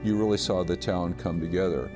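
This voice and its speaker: Very deep older American man over music. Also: fairly even intonation, brisk. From Grays Harbor, Washington, United States. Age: 60s. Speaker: local interviewee. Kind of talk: documentary interview recollection.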